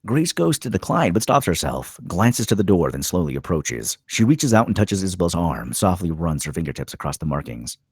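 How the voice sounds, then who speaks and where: A man's voice: deep voice, very animated, fairly quick, few pauses, slightly rough, no room sound, studio quality. A man reading scripted stage directions as the narrator of a screenplay table read.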